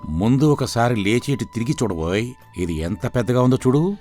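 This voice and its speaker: A man playing a long-suffering, gentle and weary husband in an animated folktale, pleading and mournful. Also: medium pitch, normal speed, soft background music, natural voice. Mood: coaxing.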